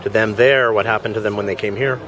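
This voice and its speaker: A man's voice: fairly low pitch, fairly even intonation, fast talker. A man interviewing a passerby outdoors, prompting with short questions. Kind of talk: casual street interview. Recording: noisy street with crowd.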